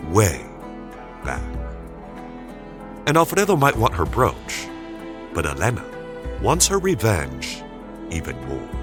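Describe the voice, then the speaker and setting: A man hosting a story podcast, reading a scripted introduction with suspense and emphatic pauses. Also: deep voice. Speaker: podcast host. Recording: voice over prominent music.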